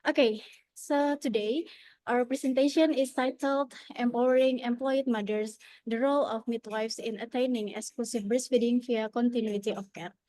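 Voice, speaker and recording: A woman's voice: on the high side, steady tone, normal speed, a little mellow, no room sound, rough recording. A young woman with an Indonesian accent giving an earnest conference presentation over a video call, often starting with "okay".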